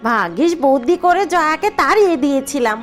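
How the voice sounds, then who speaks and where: A woman's voice: high-pitched, lively intonation, fairly quick, fairly bright tone, faint music behind. A woman voicing a sly, scheming daughter-in-law in a cartoon drama, coaxing and suggestive. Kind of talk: dubbed cartoon dialogue.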